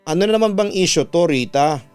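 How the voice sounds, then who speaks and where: Filipino guy doing a jealous big sister, snappy and accusing, pitched up, radio story with music under it. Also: expressive, a little fast, darkish tone, smooth, lightly acted. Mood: irritated. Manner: irritated, accusing, emphatic. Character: resentful, jealous young older sister, bitter and sharp-tongued.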